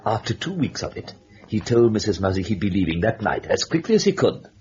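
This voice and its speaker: An actor playing a gleeful, mocking devil who narrates an old-time radio horror tale in a theatrical, sardonic way. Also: male voice, on the low side, big pitch swings, a little fast. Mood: mock sympathy. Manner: theatrical, sardonic, chatty with the listener. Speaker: host and storyteller. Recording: dull lo-fi old radio recording, music behind.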